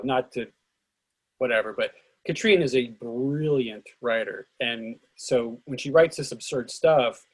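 A man speaking as an actor and host in a casual discussion, halting and digressive. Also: medium pitch, husky, low-quality recording. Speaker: actor and director hosting a play reading.

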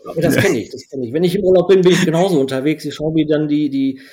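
Man, dark warm voice, relaxed rambling talk, dutch native speaker, guest on a call. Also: fairly high pitch, fast. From Belgium. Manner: relaxed, rambling with many fillers. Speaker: podcast guest. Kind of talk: casual conversation.

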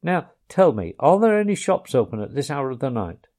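A man voicing a hearty, masterful male water rat who gives orders briskly. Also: mid-range voice, sing-song, darkish tone, lightly acted. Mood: hearty, commanding. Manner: brisk, commanding, bossy.

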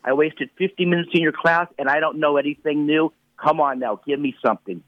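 A man's voice: fairly high pitch, fairly quick, no room sound. A man in his late fifties giving a motivational talk on a radio show, speaking emphatically in a repetitive, preacher-like cadence over a phone line. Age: 50s.

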